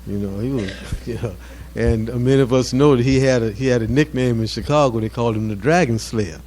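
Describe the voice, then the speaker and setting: A man in his seventies giving an informal off-the-cuff address to his community, halting and often repeating words as he searches for phrases. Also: medium pitch, darkish tone. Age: 70s. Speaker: mosque community elder speaking at an anniversary event.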